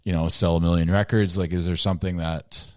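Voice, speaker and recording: Deep-voiced man in his forties, loose and rambling as he co-hosts a band interview. he curses casually and circles back on his own thoughts. his voice is low, and the room sounds dry and close. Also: level tone, a little fast, a little mellow, dry recording. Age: 40s. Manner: rambling, swears freely. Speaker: podcast co-host.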